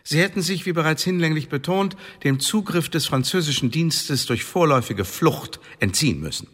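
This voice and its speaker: An actor playing a stern Elizabethan tribunal official in a German radio drama, formal and officious with a needling edge. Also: male voice, fairly high pitch, animated, normal speed, a bit bright, breathy edge. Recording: long reverberant hall.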